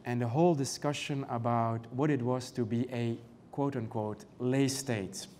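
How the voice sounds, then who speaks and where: Male European-accented academic panelist, mid pitch, measured and full of "uh" fillers, faint background noise. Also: steady tone, warm tone. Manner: measured, many "uh" and "um" fillers, rhetorical questions. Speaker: panelist, canon law professor. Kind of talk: conference panel talk.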